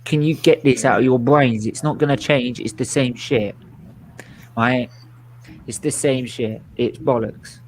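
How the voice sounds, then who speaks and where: A twenty-something man hosting a live football watchalong. He talks bluntly and excitably, swears freely, and sits at an even, middling pitch with a faint hiss of room noise behind him. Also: unhurried. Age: 20s. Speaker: watchalong host. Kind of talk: live stream chat and football reactions.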